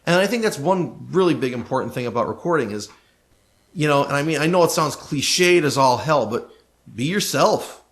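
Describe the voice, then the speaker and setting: A man's voice: fairly high pitch, animated, moderate pace. Emphatic man chatting on a podcast. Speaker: podcast co-host, recording engineer. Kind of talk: casual podcast conversation.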